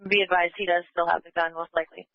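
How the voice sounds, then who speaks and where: Lady dispatcher, fast and monotone, muffled police radio. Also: on the low side, no room sound. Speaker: emergency dispatcher. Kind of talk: flat procedural dispatch radio.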